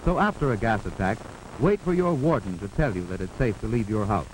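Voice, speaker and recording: An American man narrating a 1940s instructional film in a formal, authoritative, measured way, on an old film soundtrack. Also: fairly low pitch, expressive, darkish tone, slight background noise, low-quality recording. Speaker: narrator of a wartime civil defense instructional film.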